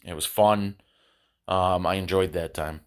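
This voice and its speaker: Male podcast host, low-pitched, nasal and congested from a cold; unscripted, halting monologue. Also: fairly even intonation, smooth. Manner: halting, repeats words while thinking. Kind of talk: solo religious podcast monologue. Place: his apartment.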